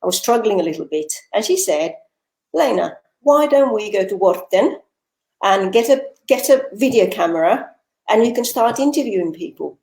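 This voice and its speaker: An older woman with a faint Finnish lilt under her British English, talking freely as an interview guest. Her voice sits at an ordinary pitch and rings in a roomy, echoey space, as if over a video call. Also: expressive, moderate pace, a little mellow. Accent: Finnish-tinged British English. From Finland. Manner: chatty, articulate, with repeated word restarts. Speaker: guest, author and retired teacher. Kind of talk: conversational interview.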